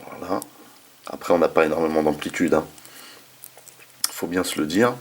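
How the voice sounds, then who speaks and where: A man's voice: extremely low pitch, steady tone, moderate pace. A man hosting a casual hands-on toy review, talking informally with slangy enthusiasm. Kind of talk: casual hands-on product demonstration.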